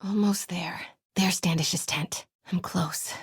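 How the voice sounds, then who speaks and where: A woman playing a determined, brave young reporter in a video game, breathy and often hushed. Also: on the low side, steady tone, takes it slowly, no echo, clean studio sound, heavily acted. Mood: hushed, tense. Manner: hushed, often whispering to herself.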